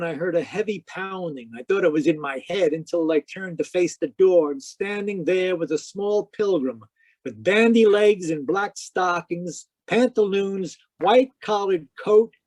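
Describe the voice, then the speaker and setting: A man's voice: sits high, unhurried, darkish tone, no echo. A man playing a sardonic, wisecracking private detective, narrating an old-time radio mystery in a wry scripted style.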